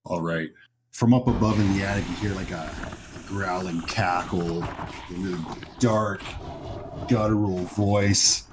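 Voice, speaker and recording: A man's voice: low voice, fairly even intonation, a little slow, noisy background. A man working as game master, narrating a horror tabletop roleplaying game in a conversational, descriptive way. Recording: music behind the voice. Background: music.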